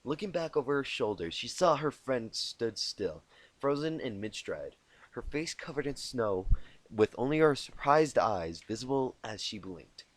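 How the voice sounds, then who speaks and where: A man narrating a storybook tale as an amateur reader, halting and often repeating words he stumbles on. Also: mid-range voice, big pitch swings, no echo. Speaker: amateur fan fiction reader. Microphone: inexpensive home microphone.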